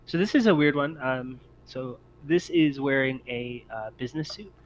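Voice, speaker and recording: A man acting as game master, improvising tabletop game narration in halting, piecemeal descriptions. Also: mid-range voice, expressive, a crowd in the background. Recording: dull lo-fi audio, noisy background.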